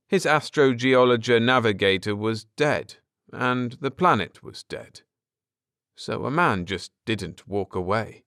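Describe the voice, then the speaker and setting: Male audiobook narrator, lower register, very clean resonant delivery, measured and dramatic sci-fi storytelling. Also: fairly bright tone, clean tone, no echo, studio quality. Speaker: audiobook narrator and channel host. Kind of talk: scripted dramatic narration of a science fiction story.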